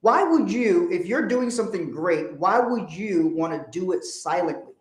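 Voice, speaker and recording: A man's voice: high-pitched, lively intonation, normal speed. A man from Liberia, hosting a casual livestream about money and business, talking emphatically, repeating phrases and swearing bluntly. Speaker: financial adviser host. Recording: echoey room.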